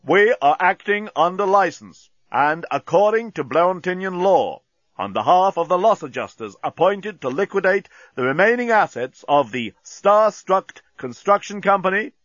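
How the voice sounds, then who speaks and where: A man voicing a cold, legalistic alien mercenary spokesman, harsh and booming, making a formal announcement in an audiobook. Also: lively intonation, moderate pace, a bit bright, dry recording, highs cut off. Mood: officious.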